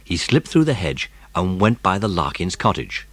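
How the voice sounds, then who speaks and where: Lively male storybook narrator. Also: low voice, moderate pace, husky, no room sound. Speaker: audiobook narrator. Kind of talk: dramatised children's story narration.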